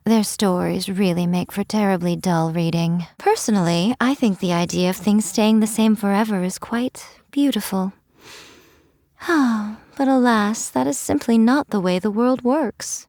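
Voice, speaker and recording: A woman's voice: medium pitch, darkish tone, no room sound, clean studio sound, natural voice. A woman voicing a playful, teasing fox spirit in a game, coy and amused as she talks. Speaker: game character. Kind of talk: game voice lines. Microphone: studio mic, dry.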